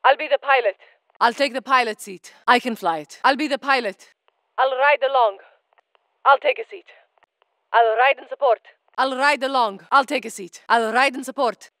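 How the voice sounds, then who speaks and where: A woman's voice: high voice, normal speed, fairly bright tone, no echo, rough recording. A woman voicing a battle-hardened female soldier operator in a game, speaking in clipped military callouts with dry humor. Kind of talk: game voice lines.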